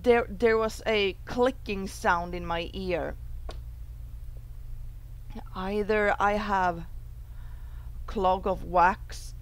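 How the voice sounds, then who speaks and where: Slow-talking woman gamer chatting. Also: medium pitch. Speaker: gaming video host. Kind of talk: casual chat and reading a visual novel aloud.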